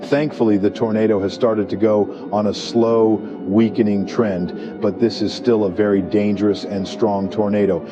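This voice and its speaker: TV storm anchor, played by a man: measured, grave emergency delivery, lo-fi sound with clear music underneath. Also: on the low side, level tone, natural voice. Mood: serious, measured. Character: male TV meteorologist anchoring live tornado coverage. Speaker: weather anchor. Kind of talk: emergency weather broadcast. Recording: dull lo-fi audio with music.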